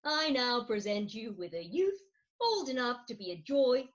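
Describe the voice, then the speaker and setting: A woman's voice: a little high, very animated, normal speed, lo-fi sound. An actress playing a dramatic middle-aged Victorian wife and mother in a comic stage reading, theatrical and emphatic, on a remote recording. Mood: pleading.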